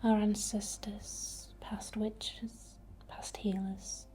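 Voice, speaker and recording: A woman whispering a ritual ASMR incantation in a breathy, deliberate way. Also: mid-range voice, little pitch movement, mellow. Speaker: ASMR witch and Reiki healer.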